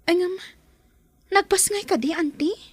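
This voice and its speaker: A woman's voice: extremely high pitch, unhurried, no room sound. A woman acting a troubled young girl in an Ilocano audio drama. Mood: concerned, curious. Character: troubled young girl asking after her sick mother. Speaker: actor in a drama.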